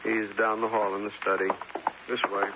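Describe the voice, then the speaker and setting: An actor playing a guarded, courteous but firm American sheriff in 1950s radio drama, speaking formally and deliberately. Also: male voice, fairly low pitch, flat delivery, close to an everyday voice. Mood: insistent explaining. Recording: noisy, narrow-band 1950s radio recording.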